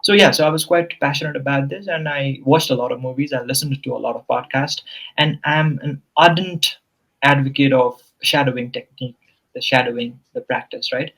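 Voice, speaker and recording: A man's voice: medium pitch, brisk, a bit bright, low-quality recording. Earnest male English tutor, Nepali native. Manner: earnest, explanatory, with frequent "you know" and "right?". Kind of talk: casual conversational interview.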